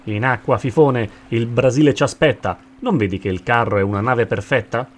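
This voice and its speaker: A man voicing a bossy, joyful, energetic crocodile in a children's story, loudly rallying the others. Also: medium pitch, fairly quick, natural voice. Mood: impatient. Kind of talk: rhymed children's story character.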